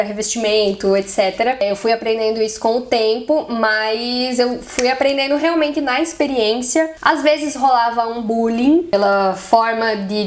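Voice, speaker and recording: Chatty Brazilian woman, bright voice. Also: fairly high pitch. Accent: Brazilian Portuguese. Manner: chatty, strings thoughts with "e aí". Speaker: vlogger. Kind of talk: casual talk to camera.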